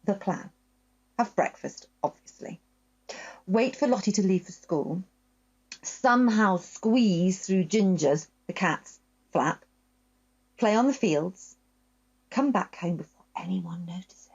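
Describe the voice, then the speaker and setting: A woman reading a children's story aloud in a gentle, expressive storytelling voice, telling it in the first person as a dog. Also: mid-range voice, takes it slowly, pauses often, husky, muffled recording.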